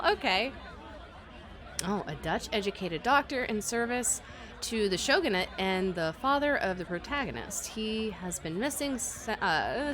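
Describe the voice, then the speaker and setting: A woman livestreaming a visual novel, reading the text aloud and reacting to it in an expressive, giggly way. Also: medium pitch. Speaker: streamer playing a visual novel. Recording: music behind the voice. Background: game music.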